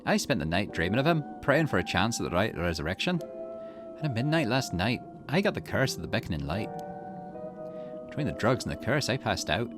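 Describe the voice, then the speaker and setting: A man's voice: fairly low pitch, sing-song, fairly quick, over music. A man voicing a tough, grieving young woman in visual novel game dialogue, with an indignant, dramatic delivery. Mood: bitter realization.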